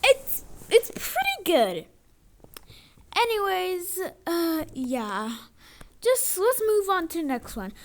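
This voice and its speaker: A woman's voice: very high-pitched, takes it slowly, heavily acted. A woman playing an exasperated teacher losing patience with an online class, in an amateur skit with dramatic, sighing delivery. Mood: fed up. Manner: exasperated, sighing, dramatic swings from calm to outburst. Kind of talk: amateur animated-skit voice acting, one side of dialogue. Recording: clicky home recording with background noise.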